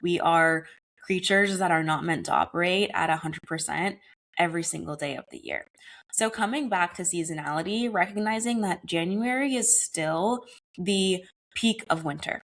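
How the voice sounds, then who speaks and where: A young adult woman hosting her wellness podcast alone, talking in an earnest, conversational way, her voice a bit raspy from a recent cold. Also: fairly low pitch, moderate pace, fairly bright tone, low-quality recording. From United States. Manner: earnest, conversational, uses casual "y'all" and "like". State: slightly hoarse from a cold. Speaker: podcast host. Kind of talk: solo wellness podcast monologue.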